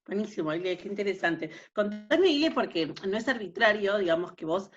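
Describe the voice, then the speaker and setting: A woman hosting an online talk on a video call, welcoming and measured, with many filler pauses. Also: mid-range voice, expressive, dull audio. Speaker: host, moderator.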